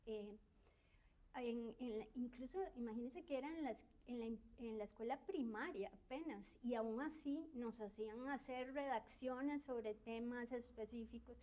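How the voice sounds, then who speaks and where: A middle-aged woman from Argentina and Uruguay, a university academic reflecting in an interview, shy and soft-spoken with frequent tag questions and hesitations. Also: fairly high pitch, a little slow.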